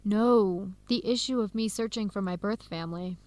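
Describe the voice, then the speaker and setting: A woman's voice: mid-range voice, moderate pace, a little mellow, lightly acted. A woman playing a friendly young Ethiopian American grad student with an American accent in a radio drama, speaking in a conversational way. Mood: earnest. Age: young adult. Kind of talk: educational radio drama acting.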